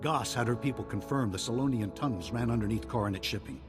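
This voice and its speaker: An actor playing a serious military officer in a video game, giving briefings in a clipped, authoritative way. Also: male voice, medium pitch, quick pace, lightly acted. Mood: serious, commanding. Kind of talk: scripted video game acting. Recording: game cutscene with music underneath.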